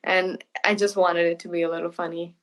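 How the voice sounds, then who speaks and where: A woman's voice: fairly low pitch, flat delivery. A woman author being interviewed, talking casually and thoughtfully, often restarting her sentences. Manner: thoughtful, halting with restarts and soft laughs.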